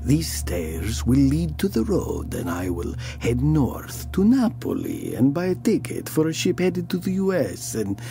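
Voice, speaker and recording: An actor playing a brooding killer who confesses his dark tale in a theatrical horror audio drama, deliberate and given to whispers. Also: fairly high pitch, very animated, gravelly, faint music behind, heavily acted. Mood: dark, intense. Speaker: narrator of an audio horror story. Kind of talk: dramatic first-person storytelling, theatrical.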